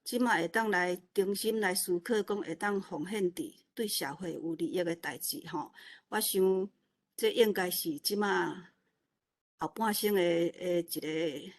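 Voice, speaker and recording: A woman's voice: fairly low pitch, unhurried. A woman with a Taiwanese accent speaking informally and modestly in an online meeting, rambling and repeating words as she searches for them. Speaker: new organiser of an online literature event. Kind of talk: informal unscripted self-introduction.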